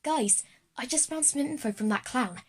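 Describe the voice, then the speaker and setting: A woman's voice: high voice, expressive, normal speed, breathy edge. A woman voicing a curious, bold schoolgirl who leads her friends in an audio drama. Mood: eager.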